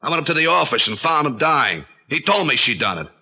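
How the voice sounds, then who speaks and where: An American man playing a slow-witted, easily frightened little gangster henchman in 1940s radio drama style, talking in thug slang. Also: on the high side, big pitch swings, sharp tone, breathy edge, exaggerated voice. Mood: accusing. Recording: muffled 1940s radio recording.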